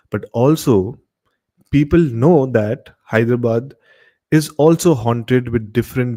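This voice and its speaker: A man's voice: medium pitch, warm tone. A man with an Indian accent casually hosting a horror story show, speaking to listeners with repetitive, emphatic phrasing.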